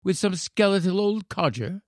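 A man's voice: high voice, lively intonation, normal speed, a little mellow, dry recording, studio quality, voice pushed up. A man voicing a blunt, curious little English schoolboy who blurts and insists, in a storybook reading. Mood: sulky, scornful. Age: child. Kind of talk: storybook character voice.

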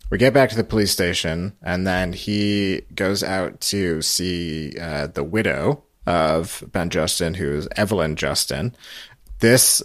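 Deep-voiced man hosting a casual podcast. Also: unhurried, fairly bright tone. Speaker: podcast host. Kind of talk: casual recap podcast discussion.

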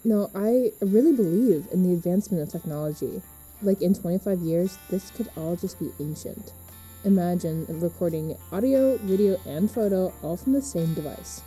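A woman playing an easygoing, reassuring teenage girl who talks gently and casually in a podcast drama. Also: on the low side, normal speed, faint music behind, natural voice, low-quality recording. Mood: enthusiastic. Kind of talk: fiction podcast acting.

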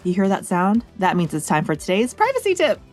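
A woman's voice: mid-range voice, huge pitch swings, a little fast, music in the background. An American woman hosting a podcast, reading a script with chatty, playful asides addressed straight to the listener. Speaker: podcast host and reporter.

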